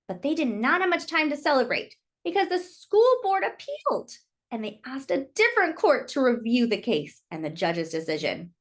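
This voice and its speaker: A woman reading a children's book aloud in expressive storytelling narration. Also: sits high.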